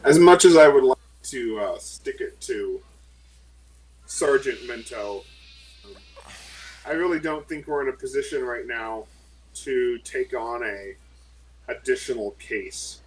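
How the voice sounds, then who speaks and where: A man's voice: mid-range voice, slow pace, pauses often, slightly rough, poor audio. Chatty man retelling his character's moves. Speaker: player. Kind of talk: in-character tabletop play over voice chat.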